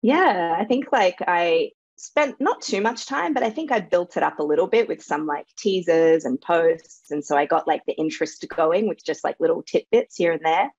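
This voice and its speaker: A woman whose first language is Serbo-Croatian talks casually about her life and work, with plenty of fillers and easy laughter. Her voice sits fairly low. The sound is dry and dull, like a video call. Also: expressive, normal speed, hardly any pauses, darkish tone, husky, no room sound. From Australia. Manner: chatty, laughs easily, lots of fillers. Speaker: guest author. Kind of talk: casual interview chat.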